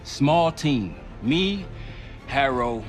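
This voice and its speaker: A man's voice: mid-range voice, animated, very slow. A clipped, headstrong male operative. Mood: focused. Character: headstrong, cool-headed male field operative. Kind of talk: video game cutscene acting. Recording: music underneath.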